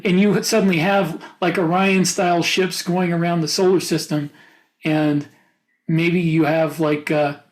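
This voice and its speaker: A man co-hosting a casual podcast discussion, talking in a halting way as he thinks aloud. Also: a little high, rough recording. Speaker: podcast co-host and author.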